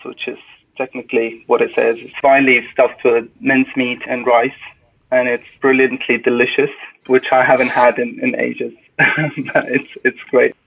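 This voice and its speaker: A young man answers interview questions in a soft, thoughtful way, now and then restarting a phrase. His voice sits at a middle pitch for a man. It comes through thin and tinny, like a phone call. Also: creaky, breathy edge. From Syria. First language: Arabic. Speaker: podcast guest. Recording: tinny phone-line sound.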